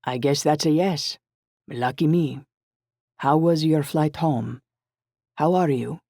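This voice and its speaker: A narrator voicing a warm, attentive young Italian man with an Italian accent and a gentle, inquiring manner. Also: mid-range voice, takes it slowly, no echo, clean studio sound.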